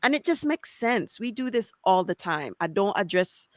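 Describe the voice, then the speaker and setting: Jamaican woman host, animated, patois slips, mid pitch. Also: normal speed, no echo, clean studio sound. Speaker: podcast host. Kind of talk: conversational interview.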